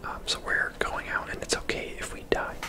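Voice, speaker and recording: Whispering ASMR gamer, casual and chatty. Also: normal speed. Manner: casual, chatty, rambling asides. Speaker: video game streamer and host. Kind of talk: whispered ASMR game commentary. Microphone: close microphone.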